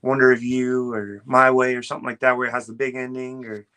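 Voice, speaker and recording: A man with a smooth, bright voice, a guest on a video call. His pitch is ordinary, and he talks earnestly and without hurry, padding his thoughts with "uh" and "you know". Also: level tone, fast talker. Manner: earnest and easygoing, with many fillers like "uh" and "you know". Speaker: guest, Elvis tribute artist. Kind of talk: casual interview chat.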